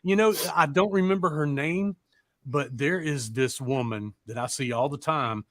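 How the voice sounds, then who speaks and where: A man's voice: on the high side, moderate pace, fairly bright tone, dry recording, studio quality. A man co-hosting a casual chat show, rambling along in a folksy, informal way. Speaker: show co-host.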